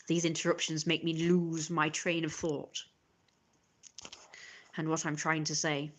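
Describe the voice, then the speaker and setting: A woman streamer ranting in casual live chat. She talks fast and exasperated, with mocking asides that quote other people. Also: deep voice, flat delivery. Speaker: livestreamer.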